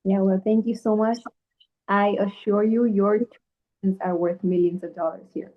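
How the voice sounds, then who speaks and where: A woman's voice: mid-range voice, steady tone, moderate pace. Woman, interview host, bubbly, enthusiastic, filler-heavy, tinny phone-like audio. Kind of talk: casual interview hosting. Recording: tinny phone-like call line.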